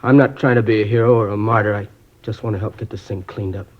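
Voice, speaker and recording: A man's voice: lowish voice, fairly even intonation, fast talker, close to an everyday voice. An actor playing a sullen, defiant young male drug user in a 1950s radio drama. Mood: remorseful. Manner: sneering, defiant. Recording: dull old radio recording.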